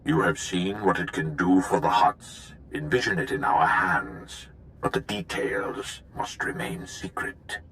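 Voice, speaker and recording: A man's voice: sits low, unhurried, a bit bright, low-quality recording. A man voicing a stern, menacing imperial lord in a video game, grave and dramatic in delivery. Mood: fervent, commanding. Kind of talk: scripted video game villain acting.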